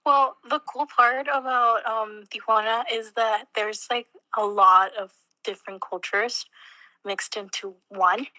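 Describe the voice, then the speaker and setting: Bright-voiced young woman from Mexico. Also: fairly high pitch, fairly even intonation, measured pace, no room sound, poor audio. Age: young adult. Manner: chatty, many fillers, laughs between words. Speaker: interviewee, college student. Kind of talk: casual interview answers.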